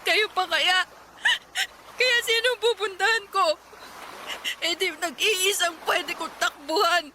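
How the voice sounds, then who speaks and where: A woman's voice: extremely high pitch, takes it slowly, pushed and bright, a little hiss or hum, exaggerated voice. A woman playing a hurt, defiant young runaway daughter in a radio drama, tearful and accusing. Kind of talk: Tagalog radio drama acting.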